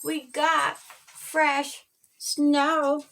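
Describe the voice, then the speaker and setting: A woman voicing a kindly mouse dad who instructs his family in measured storybook rhyme. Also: high voice, completely flat delivery, gravelly, fairly bright tone, slight background noise, full character voice. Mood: gently instructing.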